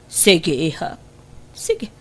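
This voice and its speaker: Stern Filipino mom, lowish voice, very slow delivery, radio drama. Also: female voice, huge pitch swings, a bit bright, full character voice. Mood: scolding. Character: stern, advising middle-aged mother. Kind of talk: Ilocano radio drama acting.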